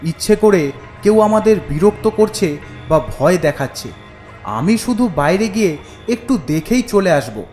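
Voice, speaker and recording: A man's voice: high voice, lively intonation, measured pace, a little mellow, faint music behind. Man playing bold, defiant young village son. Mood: resolute. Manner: bold, resolute. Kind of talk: animated horror cartoon acting.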